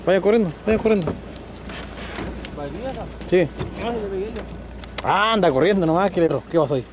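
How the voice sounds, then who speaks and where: A man's voice: high-pitched, animated, measured pace, noisy background. A man with a Chilean accent bantering and urging shots during a night hunt outdoors. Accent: Chilean Spanish. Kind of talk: casual chat. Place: outdoors at night near a truck.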